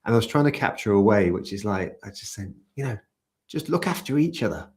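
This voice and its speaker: A man who founded a community, answering interview questions in a soft-spoken, gentle way and telling its story. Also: fairly low pitch, animated, a little fast. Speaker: community founder, podcast guest.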